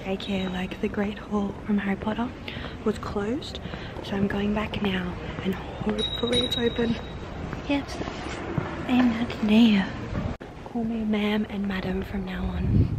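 A young woman in her twenties talking straight to a handheld camera as a casual travel vlogger, chatty and upbeat. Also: medium pitch. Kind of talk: casual vlog narration. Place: outdoors on city streets, some indoor rooms. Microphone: camera held at arm's length. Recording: street noise and traffic behind.

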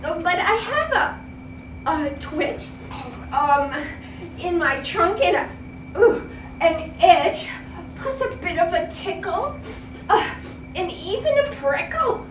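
Woman doing a high, excitable storybook elephant. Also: takes it slowly, long pauses, full character voice. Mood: sad, apologetic. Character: big apologetic, easily frightened, giggly elephant. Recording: roomy, noisy classroom, distant mic.

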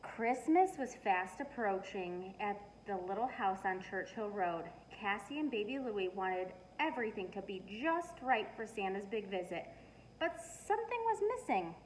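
A middle-aged woman reading a children's storybook aloud with expressive, emphatic storytelling. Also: medium pitch, moderate pace. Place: living room, indoors. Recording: noisy living room recording.

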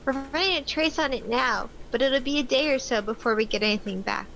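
A woman's voice: sits high, a little fast, vocal fry, fairly bright tone, a little hiss or hum, rough recording. A woman playing a curt, matter-of-fact forensic technician in a table read of a film script.

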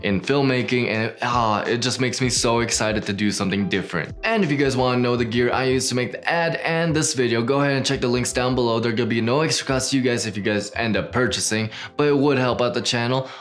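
A man's voice: medium pitch, fast, hardly any pauses. A young man in his twenties hosting a filmmaking channel, talking casually and eagerly to camera from a small home studio. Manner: upbeat, animated hand gestures, chatty with restarts. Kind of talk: casual talking-head vlog. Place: small home studio with neon lights, indoors.

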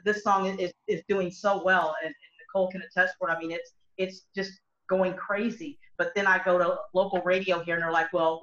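Middle-aged country singer lady, bright voice, keeps restarting her sentences, muffled laptop mic. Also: fairly low pitch. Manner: earnest, rambling with many restarts. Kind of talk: casual video call discussion. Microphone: laptop webcam mic on a video call. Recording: dull lo-fi video call audio.

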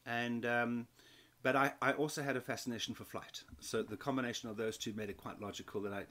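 A man's voice: medium pitch, steady tone, a little fast, a bit bright. A man in his fifties from South Africa, telling his story as an interview guest, with many restarts and repeated words. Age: 50s. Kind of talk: conversational interview.

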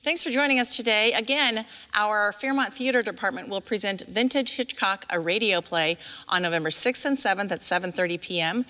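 A woman's voice: medium pitch, fairly quick, few pauses, pushed and bright. A middle-aged woman in her fifties hosting a promotional community interview on a theatre stage. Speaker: interviewer, host. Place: large dark theatre stage, indoors. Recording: echoey hall reverb.

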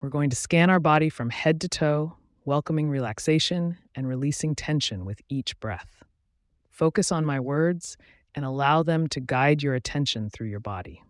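A man with a fairly high voice leads a guided meditation in a calm, unhurried way, pausing between phrases. He is close to the mic in a dry room. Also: no room sound. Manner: slow, soothing, even delivery with pauses.

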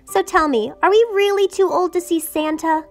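A woman's voice: extremely high pitch, moderate pace, faint music behind. A young woman voicing a scheming, bratty thirteen-year-old girl who talks in a dramatic, sarcastic way, in a homemade doll drama. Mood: impassioned. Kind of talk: hobby doll drama voice acting.

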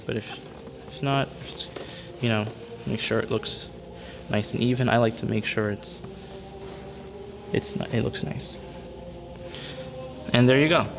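A man in a church calmly demonstrating how to fold and lay out vestments, repeating steps and checking in with "okay?". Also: medium pitch. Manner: patient, step-by-step, repeats himself with frequent "okay?" checks. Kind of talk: hands-on instructional demonstration. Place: church interior at a table. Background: background music.

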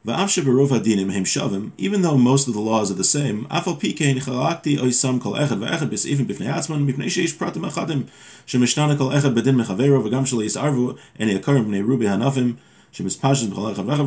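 A man in his thirties giving an informal religious lesson at his desk in a small home room, reading text aloud and explaining it in a halting, self-correcting way. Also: medium pitch, animated, darkish tone. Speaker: religious teacher.

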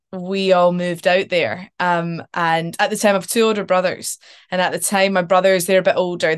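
A woman's voice: on the low side, clean tone. A young woman with a Glaswegian Scottish accent, an actor guest talking in a casual interview over a video call from her couch at home. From Scotland. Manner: chatty and animated, with many ums and likes. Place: home living room, sitting on a couch.

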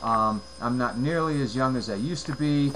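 Rambling, halting man in his forties. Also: medium pitch, fairly bright tone, slight background noise, low-quality recording. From United States. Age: 40s. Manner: rambling, halting, with many fillers and repeated words. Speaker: let's play gamer. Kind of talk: casual gameplay commentary. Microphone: headset.

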